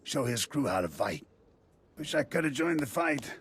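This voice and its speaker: A dour desert militia commander in a video game. He has a husky, mid-pitched voice and gives orders plainly, like a seasoned fighter. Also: big pitch swings, moderate pace, fairly bright tone. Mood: gruff approval.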